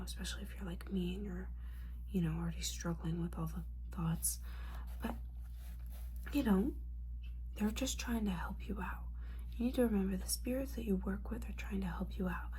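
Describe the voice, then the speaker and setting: A woman playing an older witch mentor in a whispered ASMR roleplay, scolding and motherly, with wry, halting delivery and a breathy voice. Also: mid-range voice, dark tone, slight background noise, close to an everyday voice, rough recording. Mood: exasperated, reassuring. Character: disappointed older witch mentor, bossy, judgmental yet caring.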